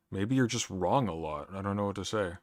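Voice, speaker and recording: A man's voice: fairly low pitch, no room sound. A man in his thirties debating online, dry and dismissive, repeating himself when cut off. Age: 30s. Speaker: streamer in a debate.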